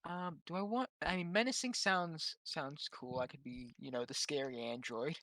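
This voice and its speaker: Male tabletop player, fairly high voice, casual chat, very dry sound. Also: moderate pace, sharp tone, no room sound.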